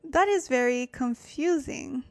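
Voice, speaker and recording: A woman's voice: high voice, lively intonation, unhurried. A woman whose first language is French, speaking English while hosting a casual livestream Korean lesson, thinking aloud with fillers and self-corrections. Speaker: livestream host teaching Korean.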